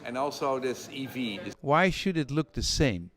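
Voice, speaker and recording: A man's voice: medium pitch, expressive, measured pace, a bit bright. A man interviewing a guest, asking casual questions and summing up the answers in his own words. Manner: summarizing, leading questions. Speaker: interviewer and channel host.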